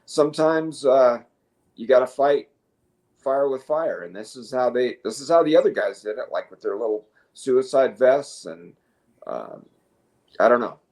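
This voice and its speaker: A man co-hosting a news commentary show, speaking casually and haltingly, opinionated, at times reading from notes. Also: mid-range voice, expressive, darkish tone. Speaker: co-host.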